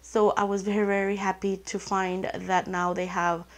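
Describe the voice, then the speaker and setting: A woman vlogger chatting casually through a craft haul, her voice a bit off from being sick, rambling and excitable with lots of fillers. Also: mid-range voice, normal speed. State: sick, voice sounds off. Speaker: planner vlogger. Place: indoors at a tabletop, hands only on camera.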